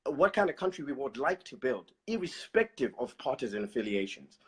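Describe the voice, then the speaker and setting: A Zimbabwean man in casual political discussion, measured and thoughtful, pausing with fillers. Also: mid-range voice, sing-song, fairly bright tone, slightly rough.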